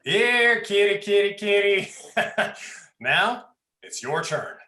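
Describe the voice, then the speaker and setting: A man's voice: high voice, lively intonation, slow, sharp tone, reverberant, heavily acted. A man playing a leering, sadistic twin brother in a horror play, mocking and taunting. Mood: taunting menace. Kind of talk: dramatic livestream script reading.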